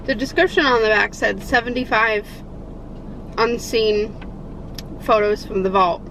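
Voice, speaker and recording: A woman's voice: a little high, a little slow, fairly bright tone, a little hiss or hum, low-quality recording. Chatty congested woman vlogging from her car. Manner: rambling, many fillers like "like" and "I don't know". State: congested from a sinus infection. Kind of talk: casual chat to camera. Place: in a car, then at home.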